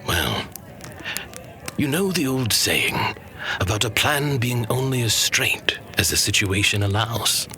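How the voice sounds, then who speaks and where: A man playing a wise old master who tells legends to a pupil in a solemn, measured, hushed fantasy storytelling style. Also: on the low side, big pitch swings, full character voice. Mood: solemn, reverent.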